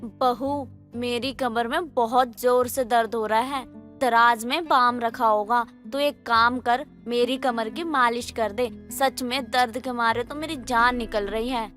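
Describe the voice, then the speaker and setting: A woman's voice: on the high side, fairly even intonation, measured pace, a bit bright. A woman voicing an exasperated, scolding middle-aged mother-in-law in a moral story cartoon, complaining and nagging. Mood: pained, pleading. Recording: music under the voice.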